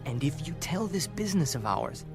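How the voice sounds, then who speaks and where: Casting: confident, earnest scout for biblical audio drama, lighter higher register. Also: male voice, big pitch swings, normal speed. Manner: earnest, declarative. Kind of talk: dramatized Bible audio reading. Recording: background music under the voice.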